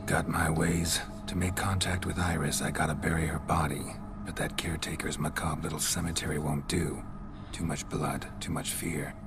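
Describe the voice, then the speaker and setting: A stoic game monster hunter with a very deep, gravelly voice. He is blunt, weary and deadpan, speaking in short hushed phrases. Loud music runs underneath. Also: moderate pace. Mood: matter-of-fact, terse. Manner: terse, clipped, deadpan, drops sentence subjects. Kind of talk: video game cutscene acting.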